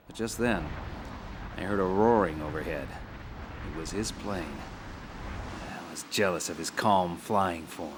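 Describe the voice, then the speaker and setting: A man's voice: lowish voice, pushed and bright, slight background noise, heavily acted. An actor playing a plucky, self-mocking ex-fighter pilot, telling his story in a wry, conversational game-cutscene interview style.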